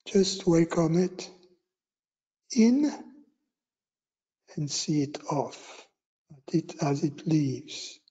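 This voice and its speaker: A man teaching meditation, giving guided instructions in short, unhurried phrases with long pauses between them. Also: a little high, lively intonation, mellow, husky, highs cut off.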